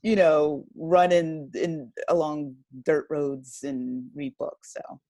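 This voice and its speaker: A woman's voice: sits low, slow pace, mellow, no echo. An American woman in her fifties from New Jersey, reflecting on her life conversationally as an interview guest. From New Jersey, United States. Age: 50s. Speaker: interview guest, writer and director. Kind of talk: conversational interview, personal reminiscence.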